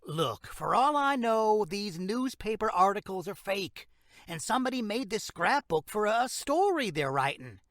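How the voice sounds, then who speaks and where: A man's voice: high voice, big pitch swings, measured pace, fairly bright tone, no echo, studio quality, exaggerated voice. A man acting as a frank, condescending small-town sheriff in an audio drama. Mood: curt, brushing off. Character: dismissive, bureaucratic small-town male sheriff.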